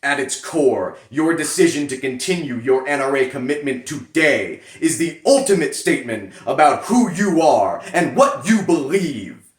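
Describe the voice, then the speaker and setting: A man giving a solemn, theatrical dramatic reading with emphatic pauses. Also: medium pitch, animated. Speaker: reader performing a letter. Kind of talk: dramatic reading of a political fundraising letter. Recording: echoey room.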